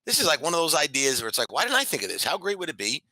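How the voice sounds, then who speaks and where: A high-energy man speaking as a business founder being interviewed, in an emphatic, boastful motivational style. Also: wildly swooping pitch, racing, sharp tone, no room sound, rough recording. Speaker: fitness franchise founder and CEO, guest.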